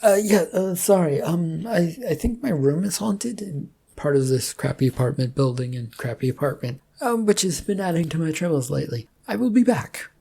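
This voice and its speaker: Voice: mid-range voice, expressive, normal speed, creaky, few pauses, mellow, breathy edge, pitched above the performer's own voice. A narrator as Rufus, a flustered, stammering man. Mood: nervous, apologetic. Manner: hesitant, stammering. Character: Rufus, flustered awkward man, reluctant prophet. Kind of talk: amateur story reading in character.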